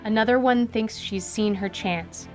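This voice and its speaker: A woman's voice: mid-range voice, unhurried. Woman narrating fantasy drama over faint music.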